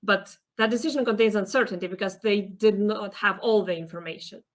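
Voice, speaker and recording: A woman's voice: mid-range voice, brisk. A young woman from Lithuania, a PhD student, explaining her research earnestly as an interview guest. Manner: earnest, explanatory, some hesitations.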